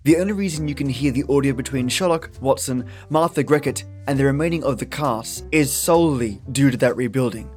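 A man's voice: medium pitch, a little fast, few pauses, darkish tone. A man hosts a podcast introduction. He has a mid-range voice and a chatty, enthusiastic delivery. Guitar music plays faintly underneath. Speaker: podcast host. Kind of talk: casual scripted podcast introduction. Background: background guitar music.

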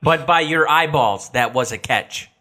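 A man's voice: fairly high pitch, unhurried, sharp tone. A man co-hosting a casual sports podcast, ranting with irritation. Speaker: podcast co-host.

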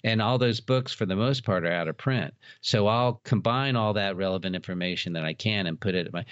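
A man's voice: fairly low pitch, fairly quick, clear, resonant tone. A man speaking as an interview guest, explaining his opinions in a casual, conversational style. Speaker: interview guest, author and horror enthusiast. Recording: dull, roomy, echoing lo-fi sound.

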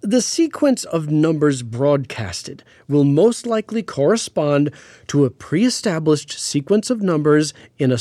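An actor playing a learned, patient astrophysicist in an audio drama radio interview, explaining things in a measured, precise, pedantic way. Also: male voice, a little high, a little mellow, dry recording. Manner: pedantic, measured, hesitant with hedging asides. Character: learned male astrophysicist, patient, precise, mildly amused Cold War expert. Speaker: astrophysicist guest on a radio show.

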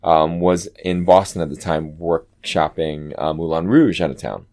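A man with a low voice, an actor telling stories in a casual interview and acting out quoted lines. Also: moderate pace. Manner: animated, chatty, acts out quoted dialogue. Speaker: Broadway actor guest.

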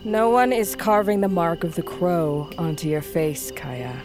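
A young warrior woman of a fantasy tribe, self-assured and teasing. She speaks in measured, old-world phrases, in the manner of a cinematic audio drama, with music beneath her. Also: lowish voice, expressive. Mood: teasing, tender.

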